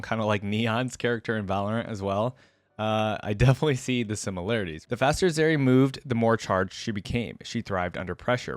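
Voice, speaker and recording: A man's voice: lowish voice, dry recording. A man hosting a reaction video, commenting casually and reading written lore aloud. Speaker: YouTube reaction host.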